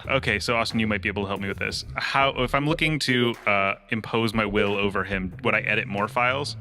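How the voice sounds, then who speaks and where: Bright-voiced man playing a tabletop game. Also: lowish voice, fast talker. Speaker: tabletop role-playing player. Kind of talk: improvised actual-play game chat.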